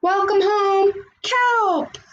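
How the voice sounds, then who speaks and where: A woman's voice: extremely high pitch, fairly even intonation, very slow, echoey room, dull audio, background noise, voice pushed up, full character voice. Woman voicing a kindly, emphatic storybook narwhal. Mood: joyful greeting. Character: kindly, accepting narwhal friends in a picture book.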